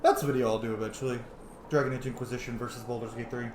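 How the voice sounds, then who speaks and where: A man's voice: mid-range voice, fairly even intonation, racing, a little mellow, a little hiss or hum. A man hosting a let's play, commenting casually with joking, opinionated, sweary asides. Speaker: YouTuber, gaming host. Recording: roomy echo, game sound behind. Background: faint game ambience.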